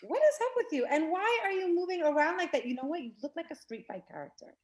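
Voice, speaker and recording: A woman's voice: extremely high pitch, expressive, fast talker, a little mellow. A woman acting a blunt teenage schoolgirl, questioning and easily baffled, in a scripted read. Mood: baffled, annoyed.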